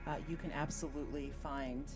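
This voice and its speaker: A woman running a tabletop roleplaying game as game master, narrating in a halting, matter-of-fact way. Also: deep voice, steady tone. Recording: background music.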